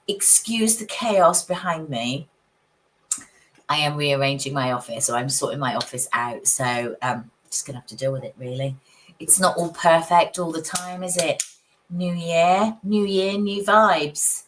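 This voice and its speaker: A woman who is husky from a cold, chatting casually with viewers as a psychic livestream host in her home office. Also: lowish voice, a little hiss or hum, poor audio. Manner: chatty, warm greetings, frequent little laughs.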